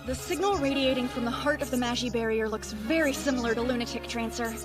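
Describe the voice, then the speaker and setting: A wry anime girl strategist acted by a woman, rather high in voice and analytical. She explains things knowingly, with clear music behind her. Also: fast talker, lightly acted. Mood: wry concern. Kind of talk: English anime dub acting.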